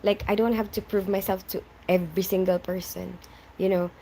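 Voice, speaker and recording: A woman's voice: medium pitch, flat delivery, fairly quick, warm tone, slight background noise, low-quality recording. A young woman who grew up in the Philippines, chatting casually on a live stream, reading out viewers' names and answering them, often laughing.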